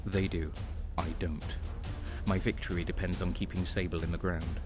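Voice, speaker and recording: A man's voice: low voice, level tone, moderate pace, over music, lightly acted. A man playing a hard-bitten, ruthless renegade soldier, narrating his war story intensely in the first person. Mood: grim. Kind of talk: first-person dramatic narration, audio drama.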